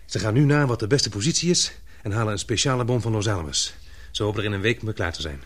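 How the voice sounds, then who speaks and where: An actor playing a calm, protective, affectionate man in a radio drama, speaking in a reassuring, measured way. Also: on the low side. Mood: gentle, informative. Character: Paul, calm, protective, affectionate male sweetheart.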